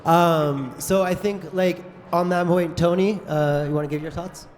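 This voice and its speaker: A man moderating a conference panel debate, brisk and joking in an informal way. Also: a little high, steady tone, a little mellow, slight background noise. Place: large conference hall. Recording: echoey conference hall.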